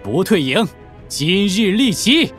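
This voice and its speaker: Fervent loyal cavalry officer. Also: male voice, high voice, very animated, takes it slowly, a bit bright, soft background music, full character voice. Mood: rousing. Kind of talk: Chinese audio drama acting.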